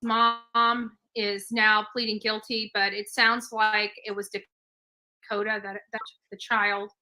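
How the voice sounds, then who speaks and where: A woman's voice: mid-range voice, level tone, slow pace, pushed and bright. A woman hosting an online show, speaking in a casual commentary style.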